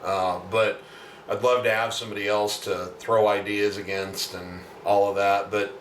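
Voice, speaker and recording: A man with a deep voice, a musician talking casually and at length in a video diary, explaining with many asides. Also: flat delivery, moderate pace, fairly bright tone. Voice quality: deep natural voice. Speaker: singer-songwriter and self-producing musician. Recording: roomy, distant mic, faint background noise.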